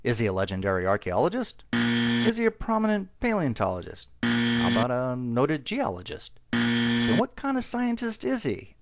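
A man's voice: medium pitch, big pitch swings, moderate pace, vocal fry. A man narrating a video voice-over with dry, mocking sarcasm.

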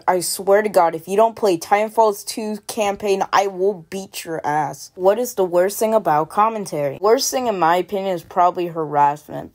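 A young woman with a naturally loud voice, a commentary YouTuber answering viewer questions in a loose, rambling way over gameplay. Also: mid-range voice. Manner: loose, rambling, many fillers. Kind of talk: casual Q&A over gameplay footage.

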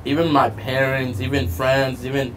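A man's voice: medium pitch, little pitch movement, moderate pace, slight background noise. A man in his twenties, a podcast guest in casual chat at an outdoor restaurant patio, talking bluntly and joking with plenty of swearing. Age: 20s.

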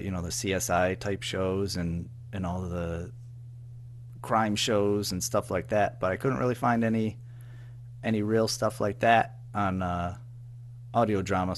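A man being interviewed in casual chat, speaking haltingly, repeating words and trailing off. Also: low voice. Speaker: audio drama writer-producer, interview guest. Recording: faint background noise and hum.

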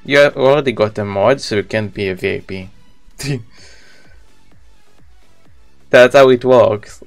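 A man's voice: on the low side, measured pace, faint music behind. A man in his thirties with an Israeli accent, a native Hebrew speaker, chatting casually with viewers as he live-streams a video game.

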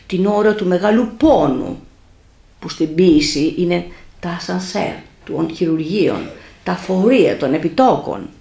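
A woman's voice: lowish voice, vocal fry, darkish tone. A middle-aged woman, a university professor, reading a prepared critical talk at a book launch into a table mic in a small cafe room, with loose spoken asides. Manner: measured academic reading, slips into loose asides. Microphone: table mic on a stand. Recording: echoey room.

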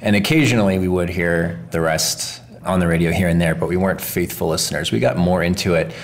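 Deep-voiced wry man. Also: fairly quick, a little hiss or hum. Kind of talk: casual podcast chat.

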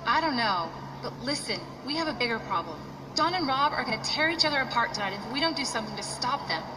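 A woman's voice: fairly high pitch, lively intonation, fast, close to an everyday voice. An actress playing an earnest, worried young female wrestler in a video game cutscene. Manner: pleading, earnest. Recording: distant noisy game audio.

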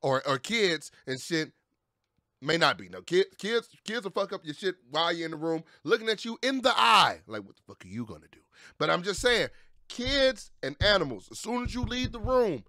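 Reaction host: young male, bright high voice, casual, sweary, emphatic, close dry mic. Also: sing-song, normal speed, dry recording. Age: young adult. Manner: slangy, sweary, emphatic repetition. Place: small indoor streaming room. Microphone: close boom-arm microphone.

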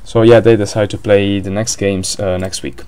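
A man's voice: fairly low pitch, little pitch movement, moderate pace, warm tone, clean tone. A man with an Italian accent commentating a board game live, hesitant, with many fillers and restarts.

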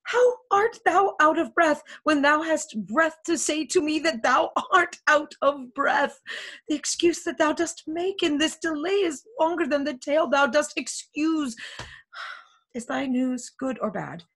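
A woman's voice: medium pitch, unhurried, a bit bright. A woman reading an impatient, lovesick young heroine in a Shakespeare play, with dramatic, insistent pleading. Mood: exasperated pleading.

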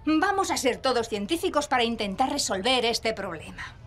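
A woman's voice: a little high, moderate pace, slight background noise. A woman with a Castilian Spanish accent playing a cheerful, patient preschool teacher in a children's cartoon dub. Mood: patient, encouraging. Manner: animated, patient, explaining to small children. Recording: music behind the voice.

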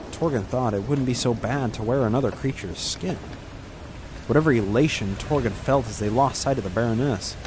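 A man's voice: fairly low pitch, background noise. A man narrating a scripted adventure story in an intense, dramatic storytelling manner.

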